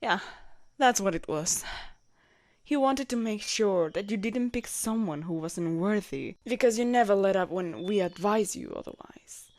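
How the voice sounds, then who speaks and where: A woman playing a jealous, protective royal knight in an intimate audio roleplay, expressive and blunt in manner. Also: mid-range voice, a little slow, dry recording, close to an everyday voice. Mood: evasive, careful. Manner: expressive, blunt, sweary, teasing. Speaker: voice actor in a romantic audio roleplay.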